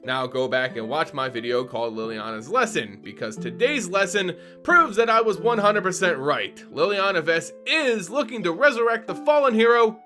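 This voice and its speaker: A man narrates a fantasy story with theatrical, playful flair. His voice is bright and lively, rising and falling a lot, with soft music playing underneath. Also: high voice, normal speed, hardly any pauses. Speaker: channel host and narrator. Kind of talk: dramatic story reading.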